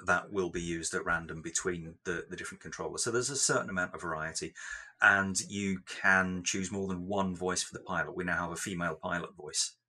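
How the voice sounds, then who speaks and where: A man explaining technical details in an interview, with measured, careful phrasing. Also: sits low, fairly even intonation, bright, forceful tone, breathy edge. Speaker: software developer being interviewed.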